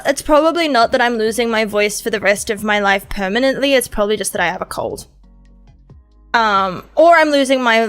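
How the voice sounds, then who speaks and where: A woman streamer with a sore throat chats casually, rambling and swearing. Her voice sits high. Faint music plays in the background. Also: fairly bright tone. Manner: rambling, swearing, laughing chatter.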